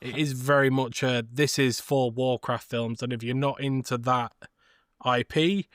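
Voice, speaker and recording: Clear-voiced man in casual podcast chat. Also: medium pitch, steady tone, measured pace, fairly bright tone, no echo, clean studio sound. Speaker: podcast co-host. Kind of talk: casual movie discussion.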